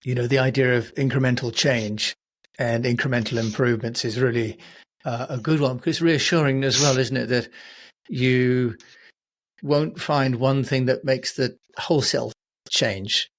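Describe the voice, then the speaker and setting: A man hosting an interview podcast, talking conversationally in measured, reflective phrases. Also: mid-range voice, steady tone.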